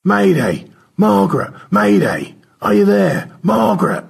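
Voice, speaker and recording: A man's voice: mid-range voice, animated, measured pace, darkish tone, breathy edge, voice pushed up. A man voicing an earnest, fussy space crewman in an audio story, speaking in a precise, formal, politely roundabout way. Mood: urgent. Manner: precise, circumlocutory, hesitantly polite.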